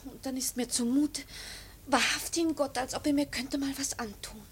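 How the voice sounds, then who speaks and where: An actress playing a timid, agitated young woman in a radio drama, speaking earnestly with a breathy, hesitant manner. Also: sits high, fairly even intonation, moderate pace, slight background noise, natural voice. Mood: anxious. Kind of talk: German radio drama acting.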